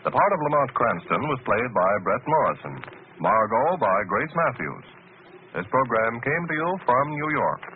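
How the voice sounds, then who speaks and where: A man's voice: medium pitch, big pitch swings, moderate pace. A man reading scripted announcements in a 1940s radio style, earnest and emphatic. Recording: tinny, noisy old radio recording.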